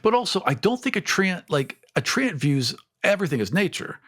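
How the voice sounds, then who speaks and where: A man's voice: high voice, big pitch swings, a little fast, fairly bright tone. A man co-hosting a podcast, chatting casually in an emphatic, opinionated way. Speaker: podcast co-host. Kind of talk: casual chat about tabletop game monsters.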